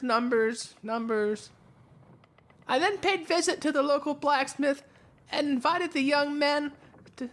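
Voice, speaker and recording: An actress playing a crew member reading a pompous journal aloud in an audio drama, in formal, old-fashioned phrasing. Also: female voice, sits high.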